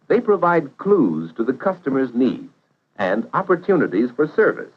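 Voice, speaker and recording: A man narrating a 1950s educational film in a measured, instructive way, posing questions to the viewer. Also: mid-range voice, wildly swooping pitch, slightly rough. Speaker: narrator of vocational training film. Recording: dull vintage 1950s film soundtrack.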